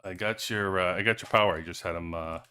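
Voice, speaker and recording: A man's voice: deep voice, fairly even intonation, fast talker, crowd noise. A man hosting a livestream in loose, rambling casual chat with joking asides, talking into a cheap headset. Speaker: livestream host. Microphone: cheap headphones headset.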